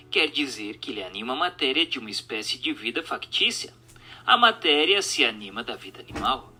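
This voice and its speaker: A man with a Brazilian accent reads in a very bright, forceful voice with animated intonation. His delivery is formal and didactic, like dramatized narration of a solemn text, with every phrase clearly set out. Music plays faintly behind him. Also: medium pitch. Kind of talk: dramatized reading of a spiritual text.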